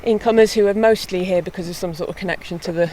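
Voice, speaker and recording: A young woman, a harpist, chatting in a relaxed way with light laughs while out walking on a hillside. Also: on the low side, fast. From born in England, raised in mid Wales. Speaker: harpist and guest. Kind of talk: casual walking interview. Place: outdoors on a hillside walk. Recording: faint outdoor noise.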